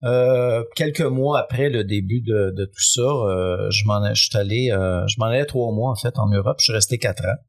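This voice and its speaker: A man with a Québécois French accent, talking as a guest in a relaxed, rambling way with many fillers. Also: fairly low pitch, normal speed, hardly any pauses. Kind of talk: casual conversation.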